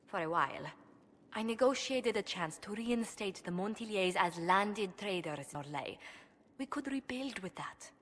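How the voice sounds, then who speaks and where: A woman's voice: mid-range voice, lively intonation, normal speed, a bit bright, long reverb tail. An actress playing a proud, worried young noblewoman diplomat in a video game, speaking formally, precisely and earnestly. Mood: troubled. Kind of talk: video game cutscene acting.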